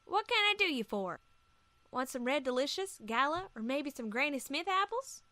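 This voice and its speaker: A woman's voice: sits high, very animated, normal speed, bright, forceful tone, no echo, heavily acted. A woman voicing an easygoing, folksy country mare pony with a Southern US accent in a cartoon-style audio story. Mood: friendly. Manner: folksy, reassuring.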